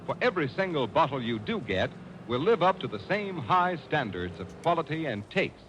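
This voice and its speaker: A man's voice: fairly high pitch, big pitch swings, normal speed, fairly bright tone. A man announcing a 1940s radio commercial in emphatic, polished sales patter. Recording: noisy old 1940s radio broadcast.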